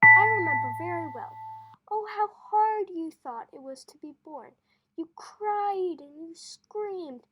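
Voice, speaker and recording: Storybook father, a farmer played by a woman reader: high voice, calm and wise, earnest measured delivery, gently instructive. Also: muffled recording, slight background noise, heavily acted. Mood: fond, amused.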